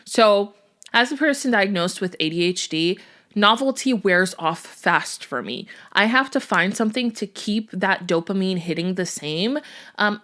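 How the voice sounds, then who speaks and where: A woman's voice: mid-range voice, fairly bright tone. A young woman whose first language is Portuguese, hosting a faith-based podcast in an earnest, chatty way. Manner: earnest, chatty, with fillers and small laughs. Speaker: podcast host.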